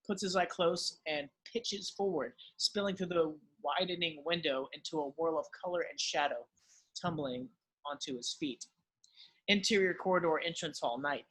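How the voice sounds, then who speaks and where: A man narrates screenplay stage directions in a scripted read-through. His voice is fairly high and bright, with a husky grain. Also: rough recording.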